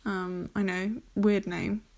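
A woman from Germany hosts a casual solo podcast, talking at an unhurried, slow pace. She sounds a bit nervous, rambling along with lots of fillers and restarts. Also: mid-range voice, level tone, a little mellow. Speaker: podcast host.

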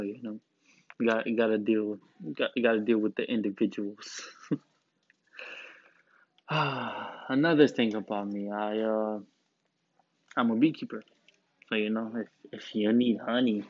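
Rambling laid-back young man, lowish voice. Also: no echo. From Romania. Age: late teens. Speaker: student podcast host. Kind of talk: casual rambling podcast monologue. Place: back room of a school. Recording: dull, dry lo-fi recording.

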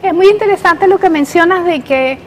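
A woman's voice: very high-pitched, moderate pace, a little hiss or hum. A woman hosting a radio interview, hesitant, with frequent filler sounds. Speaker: radio show host and astronomer.

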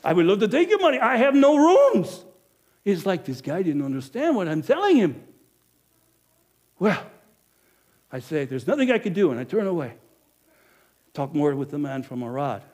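A man playing a businesslike village innkeeper in an animated first-person monologue, emphatic and speaking straight to the audience. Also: fairly high pitch, moderate pace, long pauses. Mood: haggling. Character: businesslike male village innkeeper, proud then guilty.

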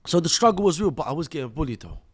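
A man's voice: a little high, lively intonation, rapid-fire, slightly rough. A man in his thirties, born in Iraq, telling his life story as a podcast guest in street slang, calling the host "bro" and "akhi". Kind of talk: casual storytelling interview.

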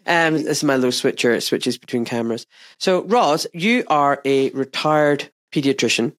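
Man with a stuffy cold, relaxed host. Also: mid-range voice, normal speed. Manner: relaxed, chatty, friendly questions. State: congested from a cold. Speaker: interview host. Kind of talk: casual interview chat.

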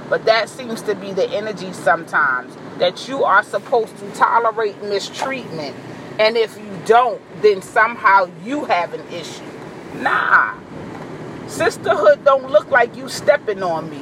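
A woman with a deep voice giving a blunt, profane, boisterous vlog monologue, recorded in a car. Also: fairly bright tone, a little hiss or hum, low-quality recording. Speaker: vlogger. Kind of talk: candid monologue to camera.

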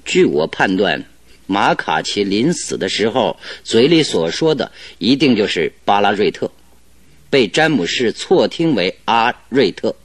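A cheerful sure-of-himself detective in a radio play, low-ish voice that swings around a lot, lecturing. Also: male voice, unhurried, dry recording. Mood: confident explaining. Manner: animated, didactic, self-assured. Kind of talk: Chinese radio drama acting.

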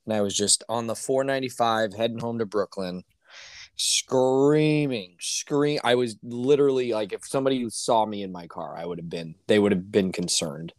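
A man co-hosting a casual sports podcast, hoarse and ranting with exasperation, his voice climbing when worked up. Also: on the low side, normal speed, no echo. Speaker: podcast co-host.

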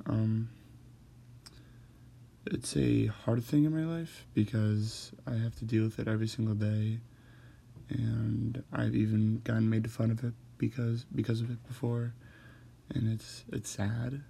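A man who stutters, talking hesitantly with many pauses and fillers as he hosts a personal monologue. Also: lowish voice, level tone, gravelly, warm tone, slightly rough. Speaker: host.

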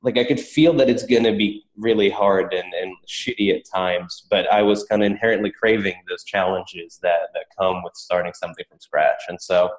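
Guy being interviewed, really low voice, casual and thoughtful, roomy echo. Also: fairly even intonation, normal speed, few pauses. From Czech Republic. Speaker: interview guest, startup founder. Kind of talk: casual, reflective interview talk. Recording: echoey room.

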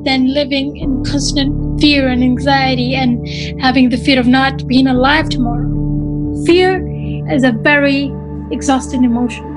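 A woman from Syria gives personal testimony in a high voice. Loud guitar music runs behind her words. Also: steady tone, moderate pace, low-quality recording. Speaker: podcast guest.

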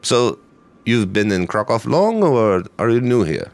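A man voicing a gruff Soviet soldier who speaks broken English with a Russian accent. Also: on the low side, very animated, a little slow, pitched above the performer's own voice, heavily acted. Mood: bargaining.

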